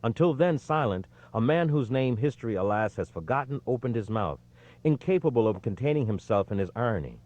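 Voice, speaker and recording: A man reading a history book aloud as a scripted audiobook narration, with expressive, emphatic phrasing. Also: medium pitch, a little fast, highs cut off.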